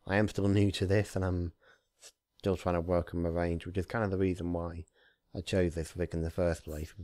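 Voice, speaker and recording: Mellow, low-pitched man reading stories. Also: no echo, studio quality. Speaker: amateur fan-fiction reader and narrator. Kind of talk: story narration and informal commentary.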